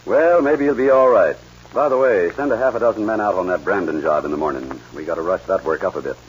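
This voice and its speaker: An actor playing a businesslike ranch boss giving orders in a 1940s radio western. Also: male voice, low-pitched, fast, slight background noise, close to an everyday voice. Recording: dull old radio recording.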